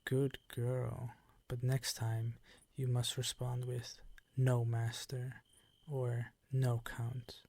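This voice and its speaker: A man voicing a predatory, possessive vampire count in an ASMR roleplay, with formal, teasing, commanding phrasing and a breathy voice that often sinks toward a whisper. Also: mid-range voice, monotone, extremely slow, hardly any pauses, darkish tone, no room sound, exaggerated voice.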